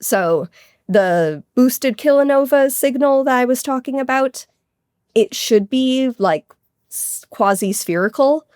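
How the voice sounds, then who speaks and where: A woman's voice: high-pitched, lively intonation, slow pace, darkish tone, dry recording, clean studio sound. A woman speaking as an astronomer guest in an interview, explaining technical things in a keen, informal, conversational way. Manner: keen, informal, technical with frequent hedging asides.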